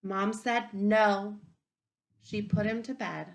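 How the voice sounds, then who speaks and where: A woman reading a picture book aloud to young children as a teacher, expressively, pausing to wonder aloud and ask them questions. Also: medium pitch, gravelly, poor audio. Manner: expressive, wondering aloud, inviting listeners to reflect.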